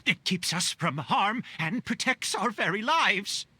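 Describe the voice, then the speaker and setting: A man voicing an agitated, earnest citizen in a video game, urgent yet deferential, with a rough voice. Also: high voice, lively intonation, a little slow, bright, forceful tone, no echo, heavily acted.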